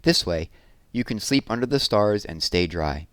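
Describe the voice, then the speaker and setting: A man voicing a kindly, sensible toy in a storybook, gently explaining things to a friend. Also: fairly low pitch, expressive, normal speed, smooth, dry recording.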